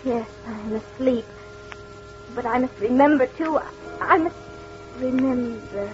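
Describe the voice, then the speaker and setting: An actress playing a confused, frightened young woman, halting and anguished, in an old-time radio drama. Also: on the high side, faint music behind, lightly acted. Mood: dreamy, searching. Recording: muffled, noisy vintage radio recording.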